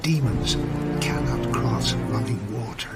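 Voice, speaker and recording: A man's voice: medium pitch, takes it slowly, close to an everyday voice. An actor playing a wry, irreverent holy man in a video game, speaking in a sardonic, bantering way. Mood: grave. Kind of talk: video game cutscene acting. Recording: music behind the voice.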